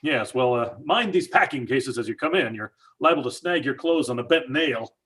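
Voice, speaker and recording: Husky-voiced skeptical dad played by a man, brash and dismissive, for an old-time radio play. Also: mid-range voice, lively intonation, moderate pace, fairly bright tone. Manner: brash, dismissive, stammering fillers. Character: blustering skeptical father, middle-aged house owner.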